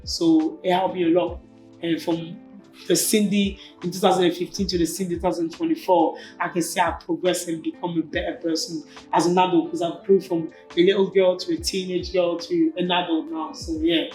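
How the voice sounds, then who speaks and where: A woman's voice: on the low side, brisk. A woman in her twenties from Cameroon, a native French speaker, talking casually and animatedly as an interview guest. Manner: informal and animated, slangy asides. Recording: echoey room with faint music behind.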